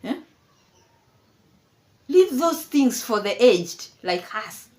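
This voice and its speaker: Slow-talking woman in her fifties, mocking. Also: on the high side, very animated, darkish tone. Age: 50s. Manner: chatty, mocking, laughs often, repeats herself. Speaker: commentary vlogger. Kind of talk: casual commentary chat.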